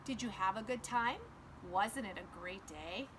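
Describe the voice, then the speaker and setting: A woman voicing an excited, protective young boy who exclaims emphatically in a children's storybook. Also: mid-range voice, unhurried, noisy background, pitched below the performer's own voice, natural voice. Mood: cheerful. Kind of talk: children's read-aloud storybook.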